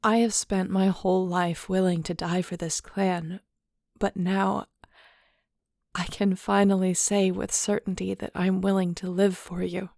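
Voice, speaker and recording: Give this to a woman acting a young werewolf heroine in an intimate audio roleplay. She is affectionate and teasing but full of nerves, with a fairly flat, even pitch. The sound is dry and close. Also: unhurried, vocal fry, dry recording. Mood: solemn, heartfelt. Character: nervous young female werewolf shifter becoming clan Alpha, affectionate, teasing.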